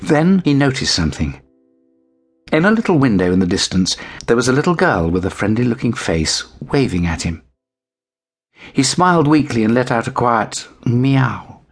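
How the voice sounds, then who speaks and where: A man narrating a children's bedtime story in an expressive storytelling style. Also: medium pitch, normal speed, husky, soft background music. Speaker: audiobook narrator.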